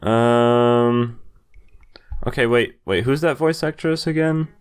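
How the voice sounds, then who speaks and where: A young man reading game text aloud and commenting as he plays, with amused, halting asides. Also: mid-range voice, clean tone. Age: young adult. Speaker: video game player and commentator. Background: game music.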